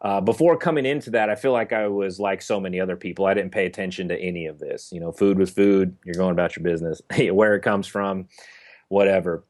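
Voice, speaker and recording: A young man from Northern California, answering interview questions in a halting way, full of fillers and restarts. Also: low-pitched, darkish tone. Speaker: interview guest. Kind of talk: casual interview conversation.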